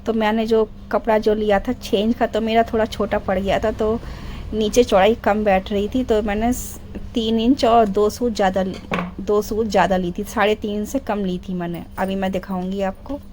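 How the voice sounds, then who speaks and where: A middle-aged woman with a sore, hoarse throat, doing a voice-over for a sewing tutorial and explaining each step patiently, clearing her throat often. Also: medium pitch, fairly even intonation, moderate pace, a little mellow, a little hiss or hum, poor audio. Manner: step-by-step, repeats instructions. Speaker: sewing channel host.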